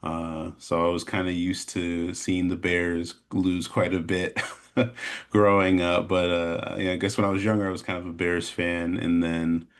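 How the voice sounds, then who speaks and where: A man with an American accent chatting casually as an interview guest, in a rambling, halting way. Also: sits low, little pitch movement. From Chicago, American Midwest. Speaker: interview guest, animation studio founder. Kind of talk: casual chat, telling his story.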